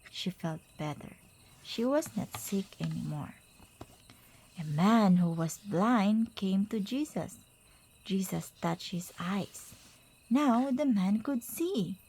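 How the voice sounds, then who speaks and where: A woman reads a children's picture book aloud as a mother to her child. Her voice is mid-pitched and mellow. She goes very slowly, in simple, deliberate phrases with pauses between them. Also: slightly rough, rough recording.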